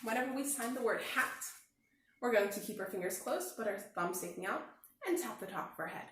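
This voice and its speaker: A woman teacher speaking slowly and clearly, encouraging children through a signing lesson and read-aloud. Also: on the high side, slight background noise. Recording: very echoey room.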